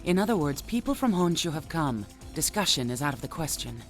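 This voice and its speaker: A woman's voice: sits low, big pitch swings, brisk, faint music behind. A woman playing a composed, decisive special-ops field leader in a video game, speaking in an assured, probing way. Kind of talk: English dub acting in a video game cutscene.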